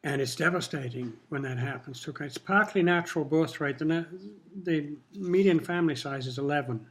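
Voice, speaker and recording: An older man from Kenya whose first language was Swahili, speaking in a measured, reflective way while answering questions in an interview. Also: a little high, a bit bright, breathy edge. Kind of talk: casual interview talk.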